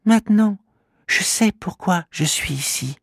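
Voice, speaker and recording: Man doing a tiny earnest storybook creature, high, husky, slow. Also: lively intonation, no room sound, studio quality, heavily acted. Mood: resolute. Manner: sincere, gently indignant. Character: little green living creature, small, earnest. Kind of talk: children's storybook reading.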